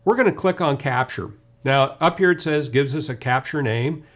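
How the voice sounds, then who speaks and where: A man's voice: medium pitch, normal speed, a bit bright. A man gives an informal technical demonstration as a webinar presenter, talking through each step conversationally. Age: 50s. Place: indoor video studio.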